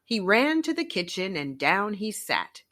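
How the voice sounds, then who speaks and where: A woman storyteller reading a children's picture book aloud in an expressive narrating style. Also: medium pitch, measured pace.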